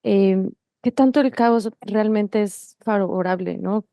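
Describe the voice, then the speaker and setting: A woman's voice: medium pitch, slow, warm tone, no echo. A woman with a Mexican accent hosting a casual interview, phrasing things hesitantly with frequent tag questions. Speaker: podcast host interviewer.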